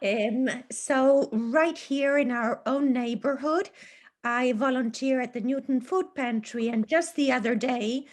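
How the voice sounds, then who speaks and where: A woman offering a careful, deliberate personal reflection as a participant in a group discussion. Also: high voice. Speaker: book group participant, food pantry volunteer. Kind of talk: measured personal anecdote and reflection.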